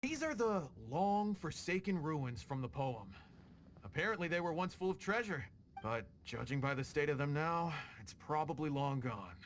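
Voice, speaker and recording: Eager, fawning small-time rogue. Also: male voice, fairly high pitch, moderate pace, slight background noise. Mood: earnest, unsure. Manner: earnest, fawning, excitable, hesitant. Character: eager, deferential male rogue seeking to go straight. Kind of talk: video game voice acting.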